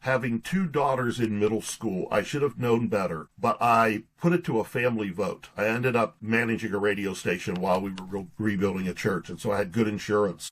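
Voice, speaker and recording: Middle-aged male interview guest, lowish pitch, conversational storyteller with a down-home manner. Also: a little fast, few pauses, a bit bright, rough recording. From Pittsburgh, United States. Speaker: guest, pastor and author.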